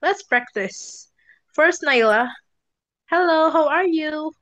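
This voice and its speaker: A young woman teaching a beginner English class, giving slow, deliberate instructions and bright praise. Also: high voice, expressive, no room sound. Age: young adult. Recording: dull, dry and slightly muffled.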